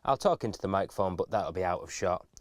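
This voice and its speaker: A man's voice: deep voice, lively intonation, fast, dry recording, clean studio sound. A man giving an informal step-by-step video tutorial in a methodical, instructive manner.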